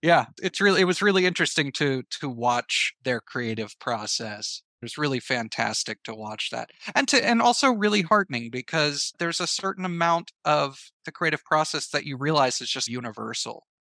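A man speaking as an interview guest, reflective and casual, pausing thoughtfully and stressing his points. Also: fairly high pitch, animated, vocal fry, sharp tone, no echo. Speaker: guest, writer and audio drama creator.

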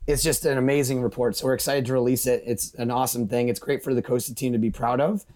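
Fast-talking man, casually enthusiastic. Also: mid-range voice, steady tone, darkish tone. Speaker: guest, brand conservation representative. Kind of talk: casual promotional interview talk.

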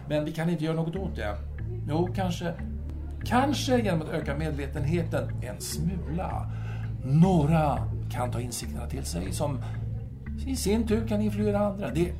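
A man playing a detached, placating philosopher in an audio drama, hesitant and repeating himself as he reasons abstractly. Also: a little high.

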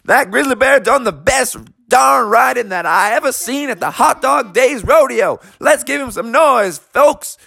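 A man's voice: very high voice, expressive, measured pace, vocal fry, few pauses, bright, forceful tone, pitched above the performer's own voice, heavily acted. A man playing a folksy rodeo announcer. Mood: hyping. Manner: drawling folksy grammar, showy. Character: folksy country rodeo announcer, booming showman.

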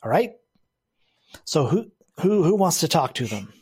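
A man's voice: a little high, big pitch swings, unhurried, darkish tone, slight background noise. A man narrating as game master of a tabletop game, often restarting phrases and laughing.

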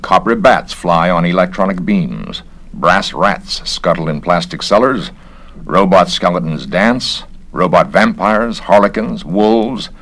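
A man voicing a bitter, defiant wealthy eccentric in a low, brooding, sardonic manner. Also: fairly bright tone, full character voice.

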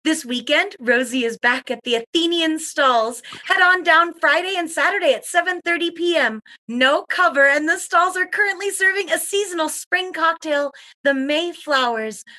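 A woman's voice: very high voice, normal speed, few pauses, bright, forceful tone. A woman playing an eager, gossipy guest co-host on a radio show in an audio comedy, with a dramatic, knowing and insistent delivery.

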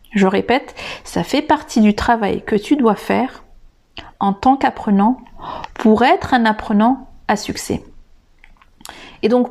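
A woman talks to her listeners in an unscripted podcast lesson. She speaks slowly, in a fairly high voice. She often stops to hesitate and restart. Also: a little mellow. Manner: hesitant, many filler sounds and restarts; addresses the listener directly and informally. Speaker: English teacher and podcast host.

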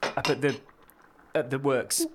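A woman playing a shy young engineer, someone's grown child, in an audio drama, halting and tentative. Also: extremely low pitch, fairly even intonation, background noise. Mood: hesitant, worried. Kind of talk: naturalistic audio drama acting.

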